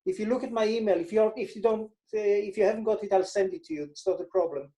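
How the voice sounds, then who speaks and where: Higher-voiced man with an Israeli accent. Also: fairly even intonation, fast, warm tone. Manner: explanatory, repeats words for emphasis. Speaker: financial advisor, interview guest. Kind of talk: casual interview talk.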